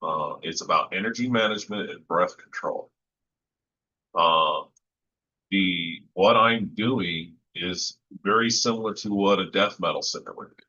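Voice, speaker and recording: A man with a low voice talking casually about recording vocals, thoughtful and rambling with lots of fillers and restarts. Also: unhurried, bright, forceful tone, husky, muffled recording. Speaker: musician discussing vocal recording. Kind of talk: casual podcast chat.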